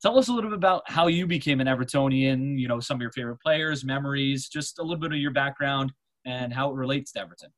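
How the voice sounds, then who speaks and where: A man in his twenties from America, hosting a casual podcast and talking in a halting way with many pauses and fillers. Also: mid-range voice, fairly bright tone. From United States. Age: 20s. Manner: hesitant, lots of fillers. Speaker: podcast host.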